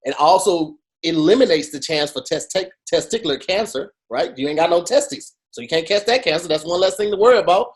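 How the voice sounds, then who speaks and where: Male podcast co-host, high lively voice, crude jokey banter. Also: a little fast, hardly any pauses. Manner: animated, profane, jokey storytelling with mocking quoted voices. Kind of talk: casual comedic chat.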